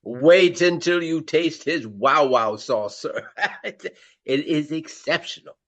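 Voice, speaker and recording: Vain old-time regency actor, high pitched and super animated, courtly radio play stuff. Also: male voice, takes it slowly, lo-fi sound. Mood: effusive, courtly. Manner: theatrical, effusive, old-fashioned phrasing. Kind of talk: radio drama acting.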